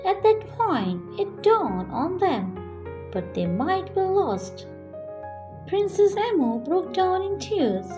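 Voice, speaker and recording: A woman with a high voice narrates a children's bedtime story. Her delivery is slow and very melodic, following a script, with music playing underneath. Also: big pitch swings.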